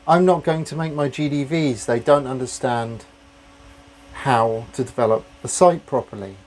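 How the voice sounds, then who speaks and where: A man voicing Goldilocks, a picky young woman property developer, in a fussy, complaining manner and a raised pitch. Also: moderate pace, darkish tone, slight background noise, lightly acted.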